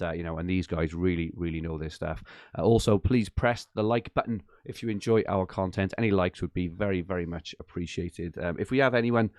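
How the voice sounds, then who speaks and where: A man hosting a live fantasy football podcast from his attic, chatty and enthusiastic with lots of fillers, his voice a little croaky from a late night. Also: deep voice, darkish tone, dry recording, studio quality. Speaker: podcast host. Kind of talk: live-stream podcast chat. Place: home attic.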